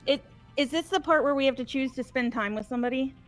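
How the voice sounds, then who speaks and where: A woman's voice: sits high, fast talker, faint music behind. A woman chatting casually as she takes part in a group game playthrough. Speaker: playthrough participant. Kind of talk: casual chat.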